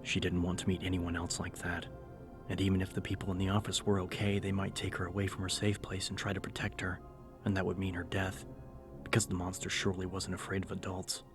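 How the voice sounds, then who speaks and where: A man narrating a scripted horror audiobook in a measured, serious storytelling manner. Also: low-pitched, monotone. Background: music.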